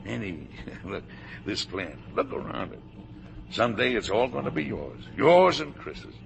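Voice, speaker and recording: An actor playing a blustery, proud older factory boss and father in a 1950s radio drama, with a gravelly voice. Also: medium pitch, very animated, measured pace, heavily acted. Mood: hearty, persuasive. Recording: noisy old radio broadcast recording.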